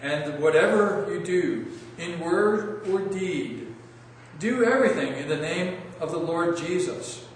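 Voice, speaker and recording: A man, a pastor, reading scripture aloud in church in a solemn, deliberate, declamatory way. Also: on the high side, expressive. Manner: deliberate, emphatic, declamatory phrasing when reading. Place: large indoor church sanctuary. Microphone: distant microphone. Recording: echoey church hall from far off, faint noise.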